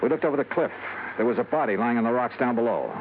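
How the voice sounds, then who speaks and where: A man's voice: mid-range voice, animated, fast, a little hiss or hum, close to an everyday voice. An actor playing a hard-boiled, world-weary male insurance investigator in radio noir, speaking in a clipped, dry, matter-of-fact way. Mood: grim narration. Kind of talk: 1950s radio noir acting and narration. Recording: narrow, tinny old radio broadcast with music.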